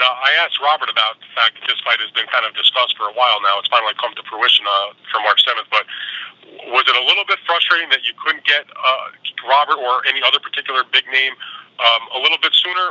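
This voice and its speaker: A man's voice: medium pitch, fast, pushed and bright, low-quality recording. A man working as a sports reporter asks wordy, roundabout questions in a conversational way over a telephone conference line. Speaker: boxing reporter asking questions. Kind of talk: press conference call questioning.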